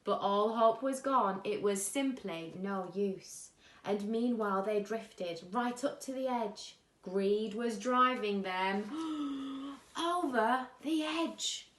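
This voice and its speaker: A woman reading a children's rhyming picture book aloud as a bedtime story, expressively and with dramatic pauses. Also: a little high, fairly bright tone. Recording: echoey room.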